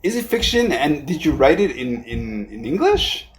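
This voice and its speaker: Male host, slightly high voice, excitable, quick interjections, faint background hiss. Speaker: podcast host. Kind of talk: casual interview.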